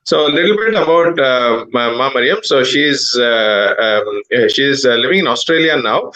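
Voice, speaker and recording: A man hosting a live online program, introducing a guest with many pauses and fillers. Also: mid-range voice, lively intonation, pushed and bright, poor audio.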